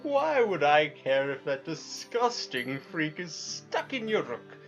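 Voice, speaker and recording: A man's voice: fairly high pitch, big pitch swings, slow pace, dull audio, faint music behind, exaggerated voice. A man playing a cruel, gloating pharaoh in a dramatic story reading, speaking with swooping contempt.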